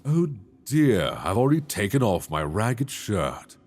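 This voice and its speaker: A man voicing a possessive, teasing dragon king in human form in an ASMR audio roleplay, speaking slowly and intimately into a binaural mic. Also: big pitch swings. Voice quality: deep, gravelly. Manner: slow, intimate, teasing, soft-spoken.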